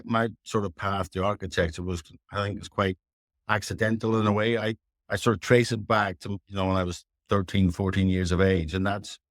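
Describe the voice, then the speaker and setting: Deep-voiced Northern Irish man, dry sound. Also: dry recording. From Northern Ireland. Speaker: architect guest. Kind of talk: reflective interview storytelling.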